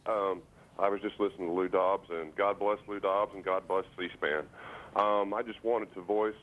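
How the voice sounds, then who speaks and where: A man phoning a call-in show, speaking casually and haltingly, repeating words as he insistently makes his point. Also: low voice, flat delivery. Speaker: radio and TV phone-in caller. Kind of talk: casual opinion and anecdote on a call-in. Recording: voice over phone line to broadcast.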